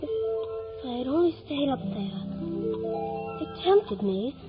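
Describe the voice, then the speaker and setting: A woman's voice: sits high, sing-song, slow, over loud music, heavily acted. A woman playing an earnest, imaginative schoolboy full of breathless wonder in 1950s radio drama style. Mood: fervent pleading. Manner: breathless, earnest, wide-eyed. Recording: dull, noisy vintage radio recording.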